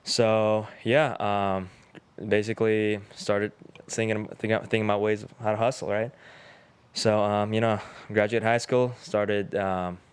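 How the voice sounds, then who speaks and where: A man in his twenties from Uzbekistan, a Russian native speaker, talking as a podcast guest in a relaxed, rambling way with many fillers. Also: fairly low pitch, a little slow, smooth. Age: 20s. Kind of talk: casual interview chat.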